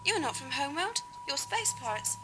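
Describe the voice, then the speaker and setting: Woman playing high-voiced, suspicious young colonist. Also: sing-song, brisk, music in the background. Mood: suspicious, urgent. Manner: blunt, urgent, detached. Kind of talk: science fiction audio drama acting. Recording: faint hum and noise, amateur tape.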